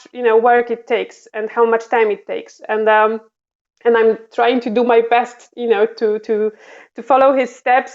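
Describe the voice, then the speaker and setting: A woman's voice: a little high, smooth, dull audio. A woman diplomat with a Polish accent answering interview questions, with many fillers and restarts. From Poland. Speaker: diplomat guest.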